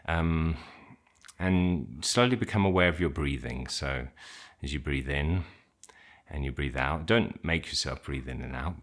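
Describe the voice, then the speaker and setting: A man with an extremely low voice guides a meditation. He speaks slowly, with plenty of space in his delivery. His phrasing is informal and a little hesitant, as he offers simple, gentle instructions.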